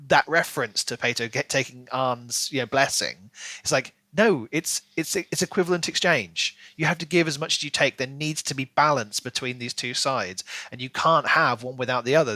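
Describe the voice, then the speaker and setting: Bright-voiced man chatting enthusiastically about books. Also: medium pitch, no echo. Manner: animated, enthusiastic, musing in rhetorical questions. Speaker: book podcast co-host. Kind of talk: casual book discussion.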